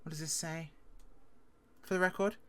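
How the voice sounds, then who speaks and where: Firm woman with a medium-low speaking voice. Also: steady tone, normal speed, a bit bright, clear, resonant tone. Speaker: roleplayer playing a public defender. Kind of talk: improvised legal roleplay.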